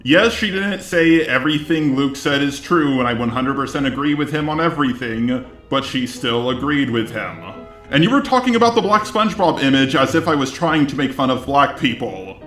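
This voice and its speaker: A man's voice: medium pitch, expressive, normal speed, a bit bright. Robot-sounding guy doing argumentative response commentary, echoey, music underneath. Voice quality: synthetic robotic voice. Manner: argumentative, rebutting. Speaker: commentary video host.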